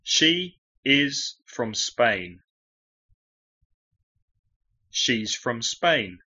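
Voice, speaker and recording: A man from England with a London accent, teaching English with slow, deliberate phrases for learners to repeat. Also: mid-range voice, sing-song, pushed and bright. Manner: clear, deliberate model phrasing for repetition. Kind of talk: slow language-lesson drills. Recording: dull lo-fi audio.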